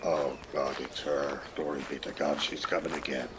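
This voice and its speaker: A man's voice: a bass voice, little pitch movement, moderate pace, background noise. An actor playing a ragged, lazy older peasant man who grumbles and needles in a lilting, theatrical radio play style. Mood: dismayed.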